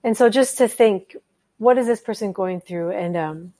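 A woman guest on a podcast interview, speaking in an earnest, thoughtful way with the occasional gentle laugh. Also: medium pitch, moderate pace. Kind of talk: conversational interview.

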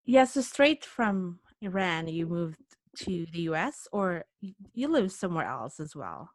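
A woman's voice: lowish voice, sing-song, unhurried, no room sound. A woman hosting a podcast interview, asking questions and affirming her guest with many yeses and mm-hmms. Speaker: podcast host. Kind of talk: casual interview chat.

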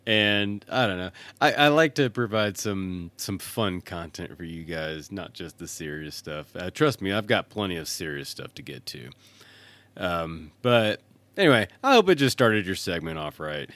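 A man's voice: sits low, animated, moderate pace, a bit bright, dry recording. A man hosting a podcast in casual solo chat, jokey and informal in his delivery. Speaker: host. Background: music playing under the voice.

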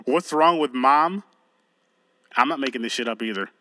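A man's voice: medium pitch, moderate pace, fairly bright tone. A man co-hosting a podcast, chatting loosely with a friend, joking and swearing as he goes. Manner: loose, joking, profane banter. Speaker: podcast co-host. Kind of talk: casual chat.

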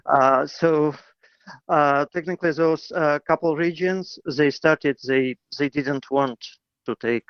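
A man's voice: fairly high pitch, takes it slowly, clear, resonant tone, no room sound, muffled recording. A man from Ukraine calling in to a radio talk show, speaking hesitantly with many fillers and restarts over an internet call line. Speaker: caller.

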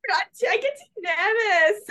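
A woman's voice: very high-pitched, unhurried, a bit bright. A young woman co-hosting a podcast in casual chat over a video call, earnest and chatty with lots of fillers. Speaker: podcast co-host.

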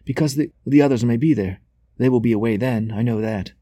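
A man's voice: medium pitch, brisk, warm tone, no room sound, clean studio sound, voice pushed up, close to an everyday voice. A man voicing a nervous, servile male messenger in a novel, hasty and stammering as he pleads. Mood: nervous, urgent.

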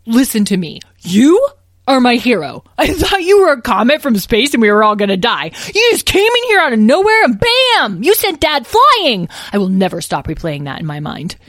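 A woman voicing an excitable, high-pitched young boy dragon. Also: moderate pace, dry recording, studio quality. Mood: awestruck, excited. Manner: gushing, exclaiming, stretches words dramatically. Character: excitable young male dragon, awestruck and loud. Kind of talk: children's story character voice.

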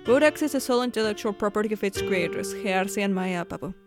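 A woman's voice: medium pitch, quick pace. A woman reading scripted end credits as the announcer of an audio drama podcast. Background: guitar music.

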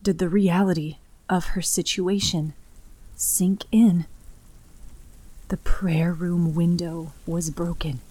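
A woman narrates a horror story very slowly and gravely, at a medium pitch. Her delivery is dramatic and deliberate, with breathy emphasis that often sinks almost to a whisper. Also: dark tone, poor audio.

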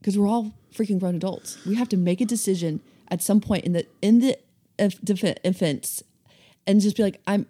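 A woman's voice: mid-range voice, normal speed, warm tone. A woman in her thirties co-hosting a casual faith podcast, earnest and rambling with restarts. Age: 30s. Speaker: podcast co-host.

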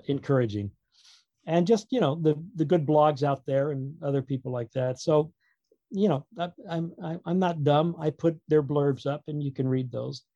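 A man speaking casually as an interview guest, in a halting, digressive way with wry humor. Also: on the high side, vocal fry, warm tone, no echo, dull audio. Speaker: guest author and producer.

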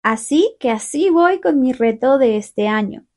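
A young woman hosting a learner podcast, talking through a calm, clear, scripted monologue. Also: sits high, measured pace. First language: Spanish. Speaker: podcast host.

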